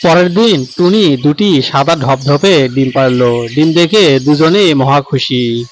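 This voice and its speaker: A man's voice: fairly high pitch, slow, a little hiss or hum. A man narrating a scripted children's story as the storyteller.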